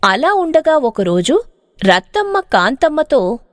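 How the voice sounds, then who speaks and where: A woman reads a scripted moral tale as storyteller. Her voice is very high with animated pitch movement. The recording is dry and close. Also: takes it slowly, dry recording.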